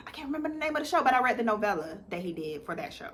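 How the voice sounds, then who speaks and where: A woman's voice: mid-range voice, big pitch swings, fast talker, fairly bright tone, slight background noise. A woman co-hosting a casual book chat in a playful, teasing manner. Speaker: co-host of a book channel.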